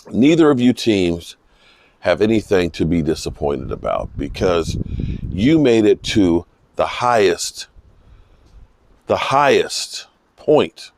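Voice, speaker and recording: A man with a slightly hoarse voice giving unscripted, emphatic sports commentary, pausing mid-sentence for emphasis. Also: fairly low pitch, darkish tone, dry recording. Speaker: football vlogger giving post-game reaction. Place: outdoors in misty rain.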